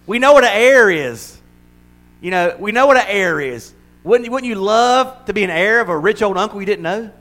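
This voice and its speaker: High-voiced preaching pastor, older man. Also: animated, moderate pace, a bit bright. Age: 60s. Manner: conversational, humorous preaching with builds to emphatic repetition. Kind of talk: sermon.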